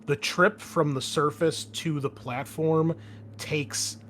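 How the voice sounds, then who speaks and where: A man's voice: on the high side, steady tone, slow pace, a bit bright, slight background noise. A man speaking as game master, explaining a tabletop roleplaying scenario in a conversational, improvised way. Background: background music.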